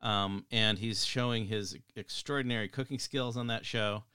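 Male podcast host, earnest and measured, bright and dry. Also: on the low side, fairly even intonation, smooth, no room sound. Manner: earnest, measured, with frequent ums. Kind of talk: podcast interview.

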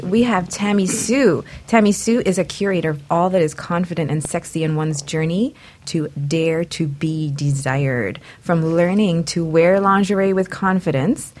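Woman host, lowish voice, flirty n teasing, does playful intros and banter. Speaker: talk show host.

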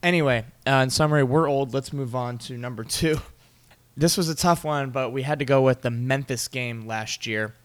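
A young man co-hosting a relaxed sports podcast, recounting games with lively energy and frequent laughter. His voice sits in a middle register and moves along at an easy conversational pace. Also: mid-range voice, normal speed. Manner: animated storytelling, laughs often. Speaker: podcast co-host. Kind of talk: casual sports chat.

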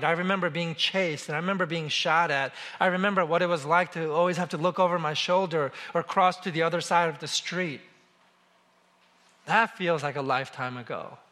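A man in his forties from South Korea delivers a sermon in a bright, forceful voice that sits a bit high for a man. He speaks in a measured, emphatic way and lingers on each word when he lists things. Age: 40s. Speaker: pastor.

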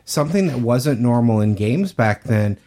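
A man's voice: medium pitch, lively intonation, normal speed, mellow. A man co-hosting a podcast in casual conversation, speaking with enthusiasm. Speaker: podcast co-host. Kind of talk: casual chat.

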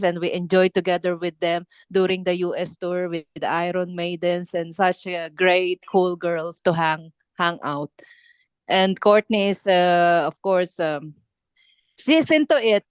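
Young Filipino woman, interview guest; slow, clean voice, hesitant phrasing. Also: fairly low pitch, clear, resonant tone, dry recording. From Philippines. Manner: halting, searching for words, many fillers and restarts. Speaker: band singer being interviewed. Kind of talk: casual interview chat.

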